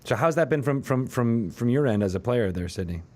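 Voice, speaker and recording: A man's voice: mid-range voice, lively intonation, brisk, darkish tone. A man hosting an interview show, chatting casually and thinking aloud with frequent asides. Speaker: talk show host.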